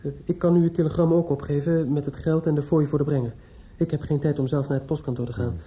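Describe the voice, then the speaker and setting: Actor as mellow-voiced, despairing, obsessive man. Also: a little high, lightly acted. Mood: hesitant, tense. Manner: halting and hesitant with others, brooding and repetitive when alone. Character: despairing, obsessive judge's son, outwardly polite, inwardly bitter. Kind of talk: radio drama acting with interior monologue. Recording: dull lo-fi old radio play recording.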